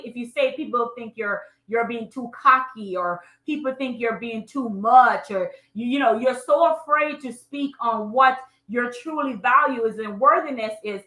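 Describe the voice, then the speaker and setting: Jamaican lady doing a motivational live training, high voice, emphatic and chatty, echoey room. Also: bright, forceful tone. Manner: emphatic, repetitive, colloquial direct address. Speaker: online business coach.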